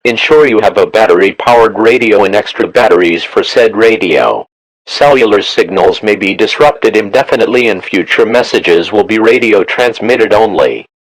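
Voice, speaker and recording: Low synthetic male emergency alert announcer. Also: moderate pace, dry recording, low-quality recording. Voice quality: synthetic text-to-speech voice. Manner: flat, formal, automated delivery. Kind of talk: scripted emergency broadcast announcement.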